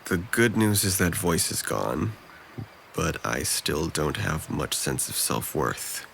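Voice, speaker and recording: A deep-voiced young man played by a male actor in an audio drama, with a lot of gravel in his throat. He is depressed and guarded, with a dry, sardonic way of talking. There is noticeable noise behind him. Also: big pitch swings, takes it slowly, fairly bright tone, exaggerated voice, poor audio. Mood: downcast, confessing. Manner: dry, hesitant, self-deprecating.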